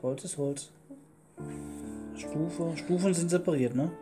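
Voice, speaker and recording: A man's voice: fairly high pitch, takes it slowly. A man chatting casually and playfully as he plays a video game for a let's play. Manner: jokey, playful with words. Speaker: gaming let's player. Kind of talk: casual chat during gameplay. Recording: guitar music under the voice. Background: guitar music.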